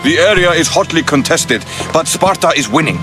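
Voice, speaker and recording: A man's voice: a little high, lively intonation, normal speed, close to an everyday voice. A man as stern Spartan army commander. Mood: grave, commanding. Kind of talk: video game cutscene acting. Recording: music behind the dialogue.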